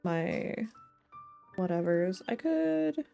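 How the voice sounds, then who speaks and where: A woman's voice: on the low side, very animated, extremely slow, gravelly, dark tone. A woman livestreamer chatting casually while gaming, thinking aloud in a playful, rambling way with silly slang. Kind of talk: casual gaming stream chat. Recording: game music behind the voice. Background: game music.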